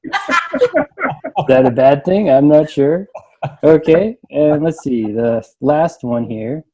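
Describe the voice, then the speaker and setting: The sound is slightly dull and lo-fi. A man with a mellow, relaxed voice reads short passages aloud and comments on them casually, as a librarian on a panel would. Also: on the high side, slow pace, husky. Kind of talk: reading reviews aloud and casual chat.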